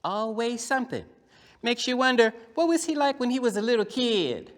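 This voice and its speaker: A man voicing a lively, cheeky young boy puppet, telling a story to children in an emphatic, conversational theatrical style with deliberate pauses. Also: very high-pitched. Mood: chatty. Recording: echoey hall, long reverb.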